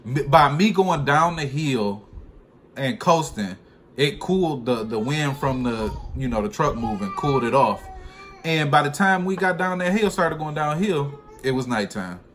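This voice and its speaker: A man's voice: on the high side, lively intonation, unhurried, a bit bright, a little hiss or hum. A man in a casual, slangy storytelling chat, speaking informally with some swearing as a trucker vlogger.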